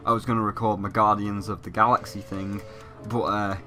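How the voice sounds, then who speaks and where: A man's voice: on the low side, flat delivery, brisk. A young man doing let's play commentary, slightly sick and stuffy, chatty and rambling with casual swearing. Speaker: gaming YouTuber. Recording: loud game music behind.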